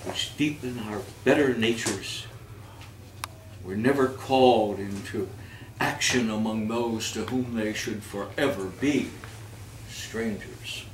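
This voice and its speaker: A man's voice: medium pitch, fairly bright tone. A man hosting a live holiday reading and quiz on stage, with a theatrical, jokey way of talking. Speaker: host of a community holiday reading and song quiz. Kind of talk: live stage reading and playful audience banter. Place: indoor community event before an audience. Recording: echoey room, distant mic, noisy audience.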